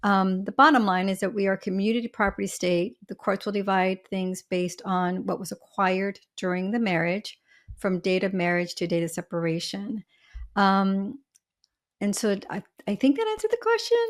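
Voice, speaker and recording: A woman speaks with a smoky, slightly husky voice, worn by allergies. She is an attorney guest on a podcast, talking through legal rules in a chatty way, with homely examples and quick asides. Her pitch sits in an ordinary middle range. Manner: talkative, explains with everyday examples and asides. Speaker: family law attorney, guest. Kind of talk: podcast interview, explaining law.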